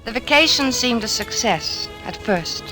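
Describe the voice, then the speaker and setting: An actress playing an anxious, devoted wife who narrates an old-time radio drama. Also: female voice, fairly high pitch, expressive, measured pace, music in the background, poor audio. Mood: grave narration.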